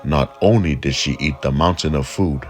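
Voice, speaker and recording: A man narrating a folk tale in a measured, deliberate storytelling manner. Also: a bass voice.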